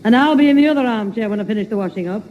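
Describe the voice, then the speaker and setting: A woman's voice: a little high, rapid-fire, long reverb tail, natural voice. A woman playing an exasperated, scolding mother in a 1960s radio comedy. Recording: dull, very noisy old radio recording.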